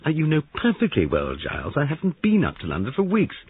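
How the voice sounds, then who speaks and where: A man's voice: fairly low pitch, very animated, brisk, slightly rough, voice pushed up. A man voicing an anxious, distraught young wife in an audiobook story, breathless and pleading, with stammers. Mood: indignant. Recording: narrow-band lo-fi radio-like sound.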